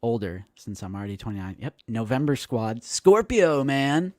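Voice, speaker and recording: A man's voice: medium pitch, normal speed, no echo. Joking man in his late twenties, dry sound. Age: late 20s. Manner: animated and joking, acts out quotes. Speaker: co-host of a toy collecting live stream. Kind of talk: casual live-stream chat.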